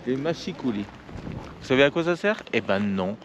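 A man's voice: medium pitch, lively intonation, moderate pace, slight background noise. A man chatting casually and playfully for a travel and DIY vlog. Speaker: vlogger showing his camper build and travels.

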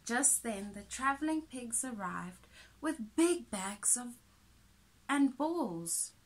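A woman's voice: fairly high pitch, lively intonation, slow. A woman in her thirties reading a picture book aloud to children as a teacher, expressively, with little asides. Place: small indoor room with soft toys.

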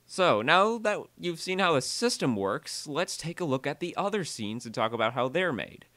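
A man narrating a software tutorial voiceover, halting and often repeating or correcting himself as he explains. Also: a little high, sing-song, no echo.

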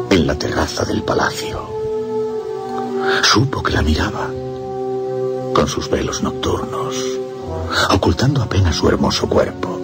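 An actor playing a lovesick young priest in a radio drama, rapt and obsessed, speaking in breathy, halting phrases. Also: male voice, sits low, exaggerated voice. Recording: loud music over the voice.

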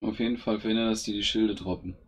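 A man's voice: lowish voice, steady tone, fast talker, slightly rough. Grumbling man playing a mobile game. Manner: grumbling, exasperated, swears at his own units. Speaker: gaming YouTuber. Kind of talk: casual gameplay commentary.

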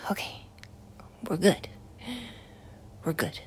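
A woman voicing a jumpy, foul-mouthed teenage boy in an ASMR roleplay, swearing and being dramatic. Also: extremely slow. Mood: scared.

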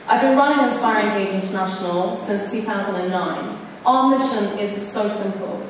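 A woman speaking at a podium in a large, echoey hall, heard from a distance with a long reverb tail. Her voice sits a little high for a woman, and she talks in an earnest, storytelling way. She lifts and stresses the words that matter most. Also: slight background noise. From Iran. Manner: earnest, storytelling, rising emphasis on key words. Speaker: award recipient giving acceptance speech. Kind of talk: public acceptance speech. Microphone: podium mic, camera far off. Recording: echoey hall recorded from far off.

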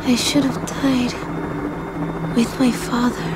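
A woman's voice: on the high side, little pitch movement, slow. An actress playing a frail, sorrowful young woman in a video game, subdued and mournful. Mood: grieving. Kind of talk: dramatic video game acting. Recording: loud aircraft noise behind.

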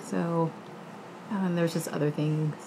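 Female book club panelist, thirties, low-leaning voice, informal and slangy, light background noise. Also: slow pace, vocal fry, poor audio. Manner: slangy, animated retelling with acted-out quotes. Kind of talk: casual chat. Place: living room, indoors.

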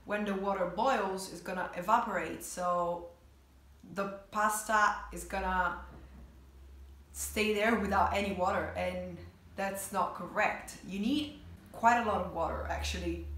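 A woman's voice: medium pitch, unhurried, fairly bright tone. A woman in her twenties with an Italian accent, chatting casually to camera in a reaction video. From Italy. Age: 20s. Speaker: vlogger. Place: small bedroom indoors, sitting on bed. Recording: roomy, echoey bedroom sound.

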